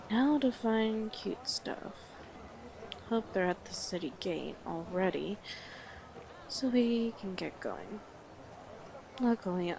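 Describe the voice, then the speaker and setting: A woman's voice: mid-range voice, background noise. A woman playing a brash, teasing female orc guide in a fantasy audio roleplay, with a blunt, sardonic manner. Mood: grumbling, sardonic.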